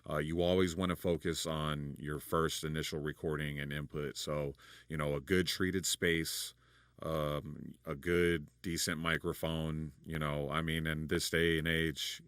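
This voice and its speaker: A man with a deep voice explaining vocal recording in a relaxed, rambling tutorial, full of fillers and restarts. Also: measured pace, no room sound, studio quality. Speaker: recording engineer and producer. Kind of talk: casual studio tutorial.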